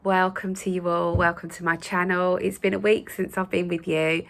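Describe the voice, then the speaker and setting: Woman host, croaky and gravelly after a cold, lowish voice, gentle reassuring chat to viewers. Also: little pitch movement, moderate pace. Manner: gentle, reassuring, with many fillers. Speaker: spiritual channel host. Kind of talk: casual spoken talk to viewers.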